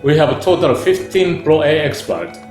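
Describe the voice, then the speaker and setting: A man's voice: fairly high pitch, normal speed, darkish tone, echoey room, faint music behind. A man giving a scripted corporate narration, steadily listing points one by one.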